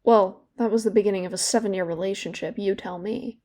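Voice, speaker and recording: An actress playing a young woman in therapy in an audio drama, bitter and regretful, confiding in a halting, sardonic, conversational way. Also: mid-range voice, fairly even intonation, warm tone, close to an everyday voice. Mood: bitter, reflective. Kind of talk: naturalistic audio drama monologue.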